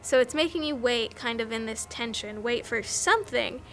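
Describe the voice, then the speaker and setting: A woman's voice: fairly high pitch, moderate pace, slight background noise. A woman talking casually and enthusiastically in a conversational, interview-style commentary. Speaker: documentary interviewee, filmmaker.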